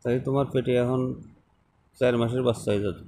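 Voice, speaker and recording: Mellow young man, noisy call. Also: mid-range voice, steady tone, a little fast. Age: young adult. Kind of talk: casual phone chat. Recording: noisy phone-call recording.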